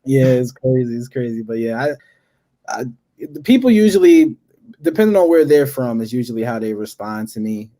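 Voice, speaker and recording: A man's voice: medium pitch, moderate pace. A man from Philadelphia, a panel guest in a casual video call chat, telling stories with street slang. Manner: lively storytelling with slang and laughs. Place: home room on a video call.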